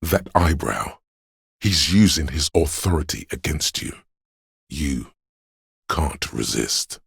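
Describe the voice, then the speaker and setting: An actor playing a stern, proud inner voice of authority in a video game, narrating in a grave, measured, commanding manner. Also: male voice, a bass voice, expressive, creaky, fairly bright tone, husky, no echo, clean studio sound, full character voice. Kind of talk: dramatic game narration in second person.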